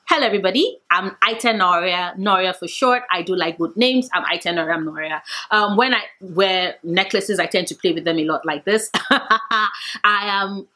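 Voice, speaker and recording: A woman's voice: medium pitch, normal speed, pushed and bright. Chatty book vlogger woman in her late twenties. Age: 20s. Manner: chatty, playful, talkative. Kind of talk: casual chat to camera.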